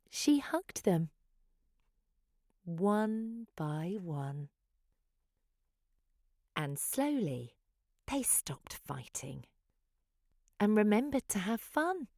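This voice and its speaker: A woman's voice: fairly low pitch, big pitch swings, drawn-out words, long pauses, darkish tone, no room sound, clean studio sound. A woman reading a children's picture book aloud in a dramatic, sing-song rhyming delivery. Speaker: children's story reader.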